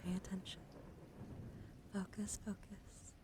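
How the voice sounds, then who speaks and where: A woman hosting an ASMR livestream, chatting casually and gently to viewers in a soft, breathy voice that often drops to a whisper, affectionate and attentive. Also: medium pitch, level tone, slow. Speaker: livestream host.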